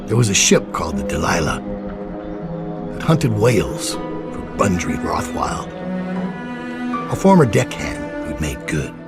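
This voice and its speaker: An actor playing a weary aging assassin, narrating in a grim, slow, brooding way with a gravelly voice. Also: male voice, low-pitched, big pitch swings. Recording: game cutscene under loud music.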